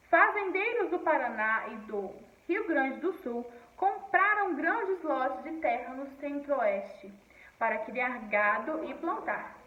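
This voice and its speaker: A woman's voice: high-pitched, reverberant, distant mic, dull audio. A woman teacher from Paraíba, now speaking with a Brasília accent, explaining a textbook lesson to her class and often checking in with "tá bom?". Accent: Brasília Portuguese. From Paraíba, Northeast Brazil.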